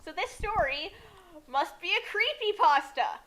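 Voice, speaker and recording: A woman's voice: very high voice, lively intonation, a little slow, fairly bright tone, low-quality recording. A woman giving casual reaction commentary on a story as it is read.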